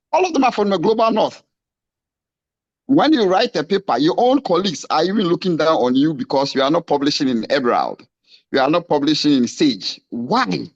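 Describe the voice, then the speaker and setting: A man's voice: fairly high pitch, muffled recording. Impassioned Ghanaian man on a call. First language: a Ghanaian language. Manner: passionate, rhetorical questions, rising emphasis. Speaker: academic and novelist asking a question. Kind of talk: impassioned question at a panel.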